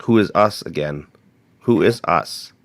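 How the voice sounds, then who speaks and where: A man's voice: low voice, lively intonation, a little slow, noisy background. A man on a ghost hunt asking the spirits direct questions and joking with his team. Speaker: paranormal investigator.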